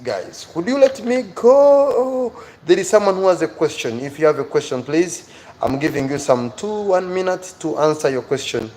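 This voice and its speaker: A man's voice: fairly high pitch, sing-song, moderate pace, darkish tone, a little hiss or hum. A man with an African accent giving a motivational live lesson to viewers as their teacher, earnest and emphatic, repeating key phrases.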